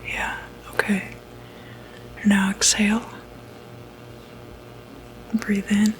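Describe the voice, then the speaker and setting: A woman whispering breathily in an ASMR medical roleplay, playing a reassuring doctor with a hesitant, gentle bedside manner. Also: mid-range voice, monotone, drawn-out words, a little hiss or hum, low-quality recording. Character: calm, reassuring doctor who treats demonic possession.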